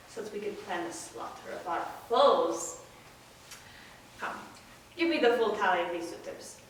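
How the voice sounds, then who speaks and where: A woman's voice: a little high, slight background noise. A woman playing a proud, weary hero father in a solemn, emphatic stage reading of epic poetry. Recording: distant, very echoey room.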